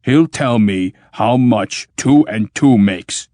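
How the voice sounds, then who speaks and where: A man voicing a dim-witted, stubborn giant machine that booms slowly and flatly. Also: no echo, lightly acted. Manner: booming slow and flat.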